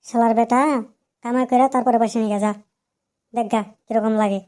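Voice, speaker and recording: A woman's voice: fairly high pitch, level tone, fairly quick, a little mellow, lightly acted. A woman voicing a strict, fed-up mother in a comic cartoon, scolding bluntly in an eastern Bengali accent. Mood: angry.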